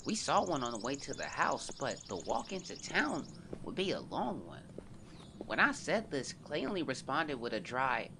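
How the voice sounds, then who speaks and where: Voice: medium pitch, sing-song, moderate pace, background noise. An actor voicing a young boy who earnestly narrates events into his journal in a scripted audio drama. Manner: earnest, confiding, storytelling.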